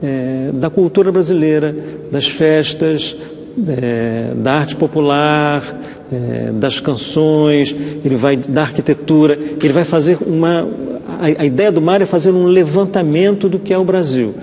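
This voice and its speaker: A Brazilian man speaks as an informal expert commentator in a reverberant hall. His voice sits a little high with a mellow, relaxed tone. He often hesitates and repeats words while explaining. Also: measured pace.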